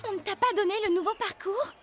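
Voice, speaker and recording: Earnest, reproachful young girl, cartoon. Very high voice over music. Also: quick pace. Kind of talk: cartoon dub. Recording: loud background music.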